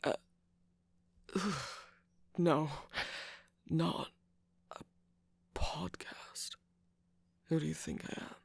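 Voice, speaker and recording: Someone putting on a smug flirty villain cookie, super creaky n breathy, whispery asmr roleplay, close up and dry. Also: medium pitch, fairly even intonation, no room sound, exaggerated voice. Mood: coaxing. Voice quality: breathy, gravelly, often dropping to a whisper. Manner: slow, coaxing, teasing, mock-innocent. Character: teasing, smug villainous cookie radio host, seductive trickster. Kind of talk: ASMR romantic roleplay, scripted character acting. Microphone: close microphone, dry.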